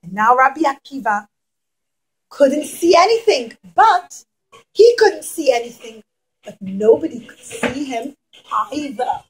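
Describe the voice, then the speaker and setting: Children's storyteller: woman, high voice, dramatic delivery, emphatic pauses, questions for young listeners. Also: very animated, slightly rough, poor audio.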